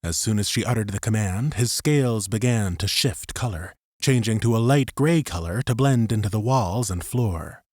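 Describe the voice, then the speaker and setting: A man's voice: on the low side, lively intonation, moderate pace, hardly any pauses, a little mellow, dry recording, studio quality. A man narrating a scripted third-person story as an audiobook narrator.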